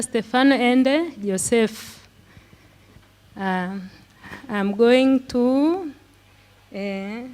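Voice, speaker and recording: Female speaker, Tanzanian accent, native Swahili. Slightly high pitch, slow, halting prepared-talk delivery with repeated words. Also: darkish tone. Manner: halting, repeating words as she searches for them. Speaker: pre-primary teacher and conference speaker. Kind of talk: prepared talk in simple English.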